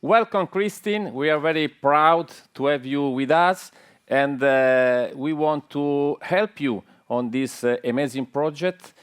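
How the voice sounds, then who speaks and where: A man's voice: fairly high pitch, takes it slowly, smooth. A man hosting a live event, welcoming a guest hesitantly with many fillers. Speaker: event host.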